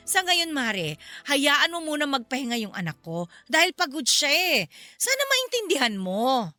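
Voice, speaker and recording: A woman playing a firm, reasonable middle-aged mother in a radio drama, with a Filipino accent and a measured, reproachful delivery. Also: high voice, pushed and bright, exaggerated voice. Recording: music behind the voice. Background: background music.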